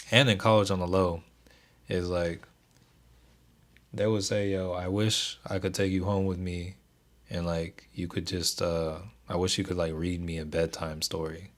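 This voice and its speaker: Deep, soothing young man. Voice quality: soothing, even voice. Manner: laid-back, keeps delivery even, reads chat aloud. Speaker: stock trading livestream host. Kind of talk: casual livestream chat with viewers.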